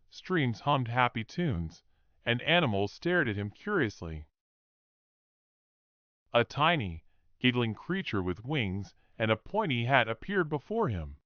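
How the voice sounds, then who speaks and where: A man narrating a children's story for English learners in a measured, expressive way. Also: mid-range voice, no echo, highs cut off.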